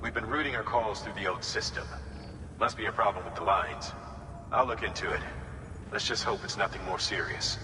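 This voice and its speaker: A man's voice: fairly low pitch, normal speed, natural voice. A man playing a composed operations chief in a video game, giving briefings in a businesslike, authoritative way. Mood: concerned, brisk. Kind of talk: dramatic game radio briefing. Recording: echoey hall, clear background noise.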